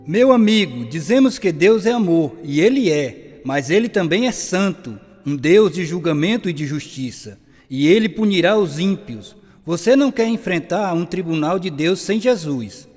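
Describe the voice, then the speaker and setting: A man's voice: sits high, animated, normal speed, few pauses, a little mellow, faint music behind. A man playing a stern, solemn preacher delivering an urgent sermon in a radio drama. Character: stern, solemn preacher urging sinners to be saved. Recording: long hall reverberation.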